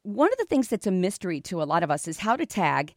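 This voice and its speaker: A woman's voice: fairly low pitch, very animated, quick pace, dry recording, studio quality. A woman hosting a podcast, asking questions and summing up what her guest says. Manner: inquisitive, sums up the guest's points. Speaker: podcast host. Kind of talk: interviewing.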